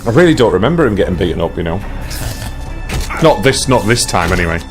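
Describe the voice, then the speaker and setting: A man's voice: fairly low pitch, sing-song, fast talker. A British man chatting casually as he plays and comments on a video game. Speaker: let's play gamer. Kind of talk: casual gameplay commentary chat. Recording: loud game music behind.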